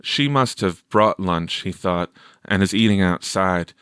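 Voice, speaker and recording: A man narrates a story in a fairly low voice. His delivery is slow and measured, like a scripted audiobook, and the sound is dry. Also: fairly bright tone, clear, resonant tone, no room sound. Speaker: audiobook reader.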